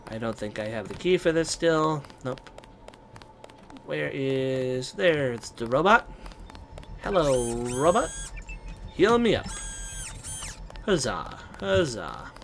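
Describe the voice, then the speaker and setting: A man from the United States with a stuffy, congested voice, casually talking through a video game as host and rambling with many pauses and ums. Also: mid-range voice, sing-song, creaky, fairly bright tone, slight background noise. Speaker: let's play host. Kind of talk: casual gameplay commentary.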